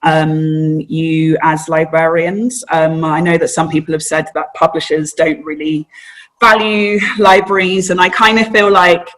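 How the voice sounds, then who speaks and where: A woman panelist on a webinar, encouraging and a little rambling, with many pauses and "um"s. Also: deep voice, little pitch movement, a bit bright.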